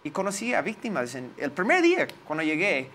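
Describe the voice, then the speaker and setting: Higher-voiced American man speaking halting Spanish. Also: huge pitch swings, fairly bright tone, slight background noise. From United States. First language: English. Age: 40s. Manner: halting, searching for words. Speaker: lawyer, interview guest. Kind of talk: interview, personal recollection.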